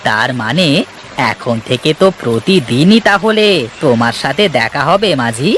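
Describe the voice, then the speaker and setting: A man playing a polite, curious gentleman in an animated story, speaking in a measured way. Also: medium pitch, slight background noise. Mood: mildly curious. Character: polite gentleman ferry passenger, mild and curious. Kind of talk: animated story character acting.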